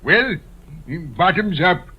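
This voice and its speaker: An actor playing a self-satisfied, pompous writer with an airy manner in an old-time radio drama. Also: male voice, high-pitched, expressive, slow, slightly rough, heavily acted. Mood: smug, pleased. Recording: muffled vintage radio recording.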